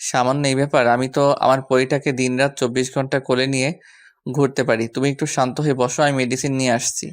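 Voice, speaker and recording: A man's voice: medium pitch, little pitch movement, fast talker, lightly acted. A man playing a doting young lover who coaxes and teases affectionately in a romantic audio story. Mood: teasing, affectionate. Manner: coaxing, affectionate, apologetic. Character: doting, teasing young man soothing his sweetheart.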